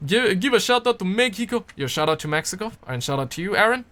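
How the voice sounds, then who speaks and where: Bright-voiced man chatting on stream. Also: sits high, lively intonation, fast talker. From Pakistan. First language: Urdu. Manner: rambling, repeats words and restarts often, playful. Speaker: livestreamer. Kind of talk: casual livestream chat, reading viewer comments aloud.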